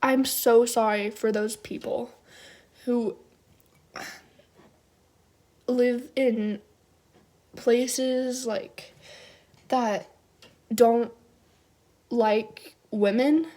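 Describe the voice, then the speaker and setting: A young woman on a fake-sincere apology, drawn out and unhurried. Her voice sits a little high and sounds overly earnest and mock-tearful. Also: steady tone, dark tone. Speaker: youtuber. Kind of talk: parody apology video.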